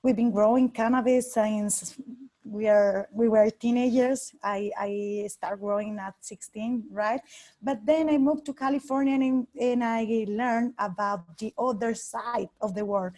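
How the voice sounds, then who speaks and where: Mexican woman guest, earnest, fairly high. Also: fairly even intonation, unhurried, mellow. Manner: earnest, searching for words in a second language. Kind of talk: interview answer.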